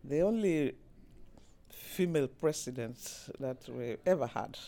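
A woman's voice: very deep voice, animated, unhurried, mellow. A woman from Nigeria, introducing herself in an interview with frequent hesitations and fillers. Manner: hesitant, many fillers and restarts. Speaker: interviewee, managing director.

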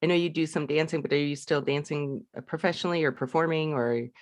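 Man hosting a relaxed interview. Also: fairly high pitch, fast talker, dry recording. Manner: warm, affirming listener with frequent backchannels. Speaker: host. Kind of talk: casual interview.

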